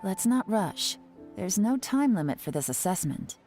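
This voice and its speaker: An actress playing a composed female official, calm and measured. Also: medium pitch, soft background music, natural voice. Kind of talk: game voice acting.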